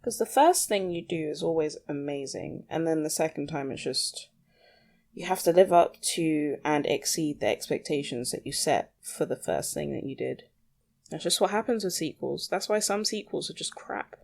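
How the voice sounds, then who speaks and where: A woman's voice: low voice, normal speed, vocal fry. A woman rambling casually as a solo podcast host, with a self-deprecating, mildly sweary way of talking.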